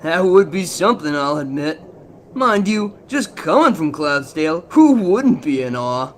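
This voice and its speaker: A man's voice: a little high, big pitch swings, a little slow, fairly bright tone, a little hiss or hum. A man voicing a wry, conversational young male pegasus colt in an audio drama. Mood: wry admiration. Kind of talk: audio drama fanfic reading.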